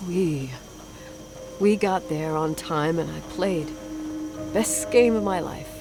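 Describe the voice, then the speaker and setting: An actress playing a distraught grown woman haunted by a repressed memory, speaking in a hushed, halting, anguished way in a dramatic video game. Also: fairly low pitch, music in the background. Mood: bitter, hushed. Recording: clearly noisy ambience behind the voice.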